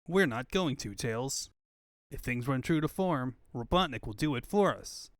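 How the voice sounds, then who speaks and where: A breezy young cartoon hero, cocky and slangy, voiced by a man. The mid-pitched wisecracks come at an easy pace in a dry comic fan dub recording. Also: expressive, a little slow, no echo, close to an everyday voice. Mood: confident.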